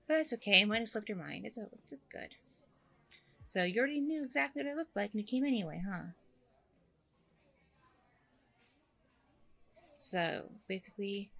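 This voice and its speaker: A woman playing a chatty, candid, self-deprecating single mom on a blind date in a casual audio roleplay, rambling informally with a creaky voice. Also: medium pitch, a bit bright. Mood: self-conscious, relieved. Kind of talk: ASMR audio roleplay, casual conversational acting.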